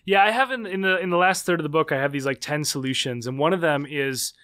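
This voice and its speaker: A man in his thirties, a book author, explaining his ideas in a podcast interview with lively restarts. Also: fairly high pitch, clean tone. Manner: animated, fast explaining with restarts. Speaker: guest author.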